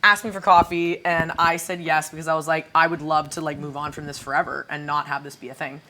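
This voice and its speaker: A young woman from Canada with a naturally low voice, a singer chatting casually on a podcast, full of fillers and laughter. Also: flat delivery, brisk, sharp tone. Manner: chatty, laughs often, swears, many fillers. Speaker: guest singer.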